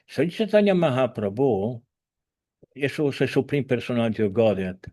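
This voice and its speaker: Seventy-ish guy on a video call, earnest spiritual teacher, halting, lots of uh and restarts, middle pitch. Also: sing-song, warm tone. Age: 70s. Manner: earnest, halting, with many fillers, restarts and non-native grammar. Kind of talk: informal talk by video call.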